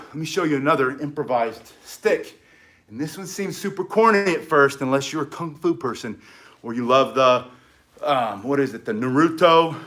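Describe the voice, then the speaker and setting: A middle-aged man teaching stick self-defense in an energetic, chatty way, with short punchy phrases. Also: medium pitch. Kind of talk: casual instructional demonstration.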